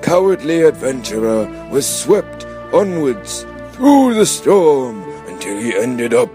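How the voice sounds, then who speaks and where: A man narrates a comic radio play. His delivery is slow and mock-dramatic, like scripted storytelling played for laughs. Music plays loudly beneath his voice. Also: medium pitch, sing-song. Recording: music bed behind the voice.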